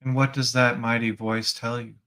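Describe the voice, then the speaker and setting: A man leading a guided spiritual meditation as a teacher, gently prompting the listener with reflective questions. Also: medium pitch, level tone, moderate pace, clear, resonant tone.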